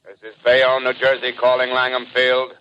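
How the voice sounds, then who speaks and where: An actor playing a stiff, impersonal military radio operator, calling formally in 1930s radio drama style. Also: male voice, medium pitch, normal speed, clear, resonant tone, close to an everyday voice. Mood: impersonal, grave. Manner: monotone formal call-out. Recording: muffled, faintly noisy 1930s radio recording.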